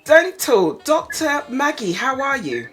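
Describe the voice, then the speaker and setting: A woman's voice: sits high, faint music behind. A woman hosting a radio show, playful and teasing as she interviews a guest. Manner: slow, lively and playful, teasing.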